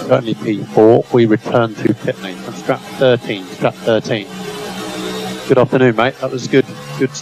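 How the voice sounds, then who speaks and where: A man's voice: fairly low pitch, poor audio. Male race engineer, radio effect, terse repeated calls, music underneath. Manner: clipped, repeats key instructions. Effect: team radio transmission. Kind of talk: terse radio instructions to a driver. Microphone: radio headset.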